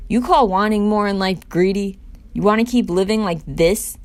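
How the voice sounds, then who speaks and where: A woman's voice: medium pitch, normal speed, darkish tone, pitched above the performer's own voice, close to an everyday voice. A woman reading the part of a bitter, accusing sixteen-year-old boy in a scripted scene. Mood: heated, accusing. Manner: accusing, insistent. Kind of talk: scripted scene table read.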